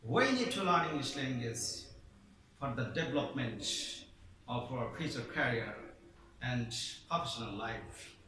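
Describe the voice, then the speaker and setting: A man's voice: medium pitch, animated, a little slow, noisy background. A man from Bangladesh with a Bangladeshi accent, an English teacher giving a formal, declamatory speech with emphatic stresses, recorded from a distance in an echoey room. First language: Bengali. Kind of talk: prepared speech.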